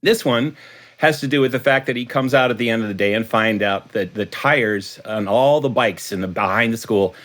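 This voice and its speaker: A man's voice: medium pitch, moderate pace, a bit bright. A man, a writer being interviewed, talking reflectively and conversationally about his stories, with a storyteller's manner. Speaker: guest writer, creator of a detective series.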